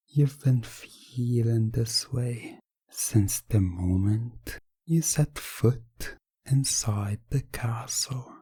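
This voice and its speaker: A man tells a hypnotic ASMR story at an extremely slow pace, lingering on and repeating phrases. His voice is dark, mellow and breathy, often slipping toward a whisper. A faint ambient noise sits behind him. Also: medium pitch. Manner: deliberate, repetitive, lingering phrasing. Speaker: hypnosis roleplay narrator.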